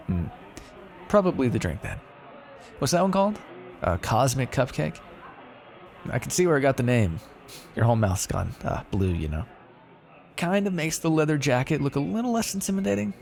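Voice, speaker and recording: A man acts out a male vampire for an ASMR roleplay, flirtatious and wry. His voice has a gravelly fry and moves up and down in a very lively way. He talks casually and teasingly, with a sardonic, faintly threatening edge. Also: mid-range voice.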